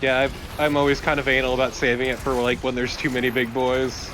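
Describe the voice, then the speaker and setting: A man's voice: medium pitch, flat delivery, fast talker. A man co-commentating on a game in casual, joking banter. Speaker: let's play co-commentator. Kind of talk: casual banter over gameplay. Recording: loud game noise behind voice.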